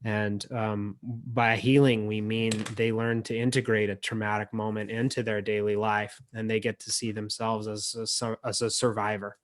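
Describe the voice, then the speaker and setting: A man hosting a poetry reading over a video call, speaking in a halting, reflective way. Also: fairly low pitch, little pitch movement. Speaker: emcee and editor.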